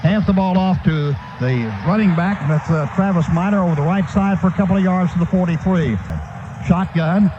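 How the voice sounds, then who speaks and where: A high-voiced American man calls a football game live on the radio. He describes each movement in short, running bursts. The broadcast sounds dull and lo-fi, with clear noise behind him. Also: normal speed. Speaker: play-by-play radio announcer. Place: stadium broadcast booth.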